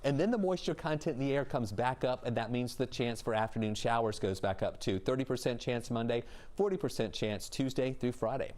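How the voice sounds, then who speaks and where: Male TV meteorologist, quick delivery, mid pitch, chatty forecast read. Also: a little mellow. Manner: brisk, conversational forecasting. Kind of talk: live weather forecast.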